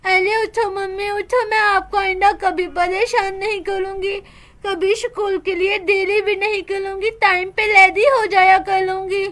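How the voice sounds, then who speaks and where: A tearful little girl in a storybook tale, sobbing and begging in a very high, small voice, as a woman puts her on. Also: little pitch movement, measured pace, fairly bright tone. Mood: pleading. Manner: sobbing, pleading. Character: crying little girl who lost her mother.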